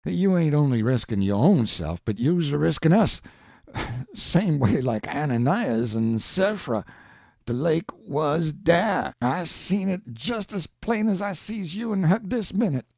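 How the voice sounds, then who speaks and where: A man's voice: fairly high pitch, sing-song, measured pace, vocal fry, darkish tone, no echo, studio quality, voice pushed up, heavily acted. A man voicing a frightened, superstitious grown man who pleads in a heavy rural dialect. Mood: pleading.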